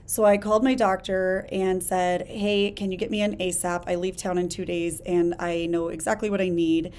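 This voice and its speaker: A woman hosting a solo podcast, talking in an energetic, emphatic, conversational way with a hoarse voice while she gets over a chest illness. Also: on the low side, moderate pace. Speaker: podcast host. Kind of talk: casual solo podcast talk.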